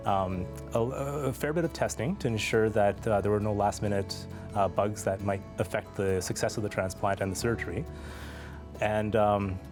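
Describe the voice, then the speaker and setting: A man telling a personal story as an interview guest, speaking in a reflective, measured way with pauses. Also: fairly low pitch. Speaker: interview guest, transplant patient.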